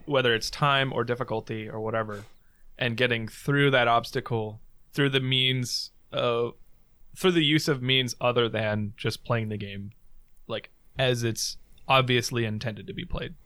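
A man co-hosting a gaming podcast, relaxed and slow, explaining with examples. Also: mid-range voice, smooth, dry recording. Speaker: podcast co-host. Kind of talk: casual podcast chat.

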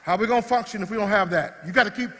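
A man preaching a lively sermon, acting out everyday scenes in voices. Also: sits high, rapid-fire, big-room sound, slight background noise. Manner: animated, acts out body parts in comic voices. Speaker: pastor. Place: church sanctuary.

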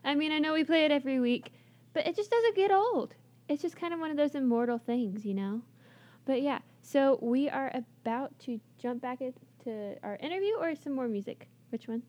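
A woman's voice: high-pitched, lively intonation, moderate pace, darkish tone. Bubbly young woman radio host. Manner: chatty, bubbly, heavy on "like" fillers. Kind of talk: casual radio interview. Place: radio studio.

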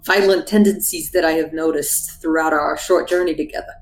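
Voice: mid-range voice, steady tone, brisk, natural voice. A tabletop player voicing a chatty ninety-year-old gnome woman cook, rambling and folksy, genially evasive. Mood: chatty, guarded. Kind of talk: improvised in-character tabletop roleplay. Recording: roomy echo, faint music behind.

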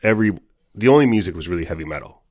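A man's voice: low voice, animated, racing, a little mellow, no echo, clean studio sound. A man in his forties chatting casually on a podcast, saying "like" over and over. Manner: chatty, says "like" constantly.

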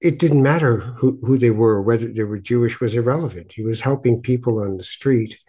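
A man's voice: medium pitch, a little fast, warm tone, husky. A man hosting a conversational podcast, talking reflectively and often repeating words as he goes. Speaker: podcast host. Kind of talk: conversational interview with reflective introduction.

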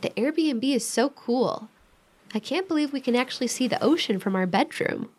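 High, lively American young big sister, played by a woman. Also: moderate pace. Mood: brisk, protective. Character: protective, quick-tempered young big sister, deeply devoted. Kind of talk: modern audio drama acting.

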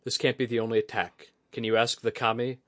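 A man's voice: mid-range voice, level tone, fairly quick, fairly bright tone, no echo, studio quality, pitched above the performer's own voice, close to an everyday voice. A man voicing an alert, anxious soldier in a fantasy audiobook, speaking earnestly and urgently. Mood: worried reasoning. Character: alert, anxious, quick-thinking male soldier on watch.

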